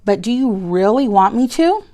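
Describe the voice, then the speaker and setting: A woman voicing a calm, caring female schoolteacher in a storybook, gently and patiently questioning. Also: mid-range voice, moderate pace, close to an everyday voice.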